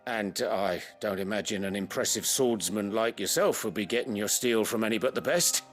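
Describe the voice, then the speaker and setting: A man's voice: lowish voice, normal speed, lightly acted. A man playing a humble, talkative leather craftsman in a video game, wry and self-deprecating. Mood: grateful, obliging. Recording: game music under the voice.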